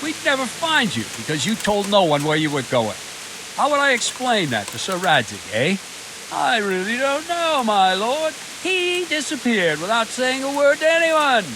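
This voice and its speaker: An actor playing a gruff, sarcastic veteran soldier who scolds a recruit in a video game drama. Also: male voice, very high-pitched, big pitch swings, moderate pace, noisy background. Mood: sarcastic, scolding. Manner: sarcastic, hectoring, foul-mouthed.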